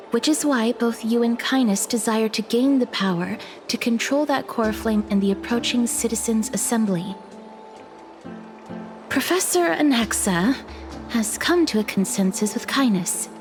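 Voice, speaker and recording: An actress playing an earnest young maiden in a game, soft-spoken and resolute. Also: female voice, a little high, lightly acted.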